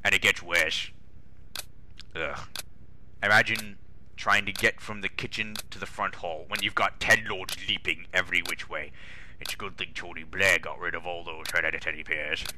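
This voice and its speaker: A man acts out a pompous older British railway boss in a comic audio story. The voice is low and very sharp, blustering and grumbling with indignation. There is faint noise behind it. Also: fairly even intonation, normal speed. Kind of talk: comic dramatic reading.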